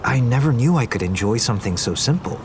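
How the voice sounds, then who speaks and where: An actor playing an earnest, smitten, gently wry young Korean businessman in a naturalistic audio drama. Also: medium pitch, lively intonation, quick pace, background noise, close to an everyday voice. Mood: wondering. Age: young adult. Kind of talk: naturalistic fiction podcast acting.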